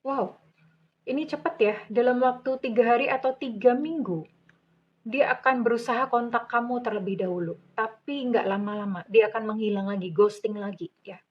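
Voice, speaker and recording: A woman's voice: a little high, moderate pace, highs cut off. Indonesian woman doing a tarot reading. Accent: Javanese-influenced Indonesian. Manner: soothing, addresses listener as dear, calls herself Bunda. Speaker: tarot reader. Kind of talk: general tarot reading to viewers.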